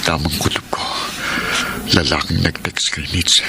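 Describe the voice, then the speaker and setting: A man's voice: rumbling low, fairly even intonation, measured pace, vocal fry, heavily acted. An actor playing a troubled, hurt husband who suspects his wife, speaking earnestly with a Filipino accent in radio drama style. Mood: suspicious, tense. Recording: noisy radio drama recording with ambience.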